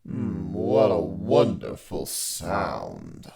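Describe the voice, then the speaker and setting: Slow gravelly giant spider villain. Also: male voice, low-pitched, wildly swooping pitch, a crowd in the background, pitched below the performer's own voice, exaggerated voice. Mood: gloating menace. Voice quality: gravelly, creaky. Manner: slow, drawn-out, theatrical menace.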